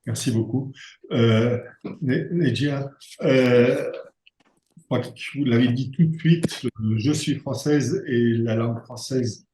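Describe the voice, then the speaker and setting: A man's voice: medium pitch, slow pace, dark tone. Man moderating in a roomy hall. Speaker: panel moderator. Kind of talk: live panel discussion. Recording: roomy echo from the venue.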